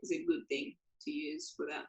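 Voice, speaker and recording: A woman's voice: low-pitched, flat delivery, moderate pace. Woman in an echoey room, on a video call. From Congo. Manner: hesitant, repeats words, many "like" fillers. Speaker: guest, language teacher. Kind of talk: casual video-call interview.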